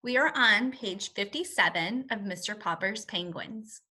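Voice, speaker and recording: A woman's voice: medium pitch, a little slow, pushed and bright, husky. A woman teacher reading a story aloud to young children, expressively, with simple word explanations. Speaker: first grade teacher.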